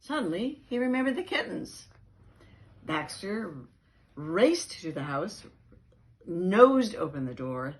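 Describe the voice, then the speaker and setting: A woman reading a children's picture book aloud, expressively, stressing key words and adding asides as storyteller. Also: sits low. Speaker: storyteller, reading organisation's founder.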